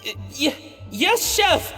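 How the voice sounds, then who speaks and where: A woman's voice: on the high side, slow pace. A woman voicing a timid young boy working as a kitchen helper in a video game, hesitant, stammering and apologetic. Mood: cowed. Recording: distant reverberant mix, faint music.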